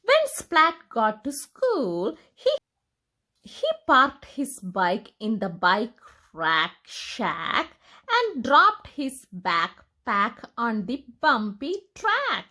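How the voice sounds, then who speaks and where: A woman reading a picture book aloud to young children in an expressive, deliberate, sing-song storytelling manner. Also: a little high.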